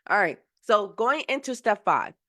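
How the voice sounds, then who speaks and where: A woman's voice: mid-range voice, big pitch swings, moderate pace, sharp tone. A woman teaching a live mentoring session while getting over a cold, explaining in a casual, rambling way with many fillers and restarts. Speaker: software engineering mentor.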